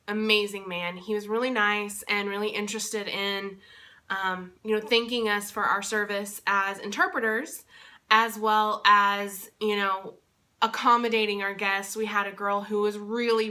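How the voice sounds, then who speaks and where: A woman's voice: medium pitch, fairly even intonation, a little slow, pushed and bright. A young woman giving a casual vlog update, congested and still recovering from losing her voice, chatty and rambling with many fillers. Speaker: vlogger and convention interpreter.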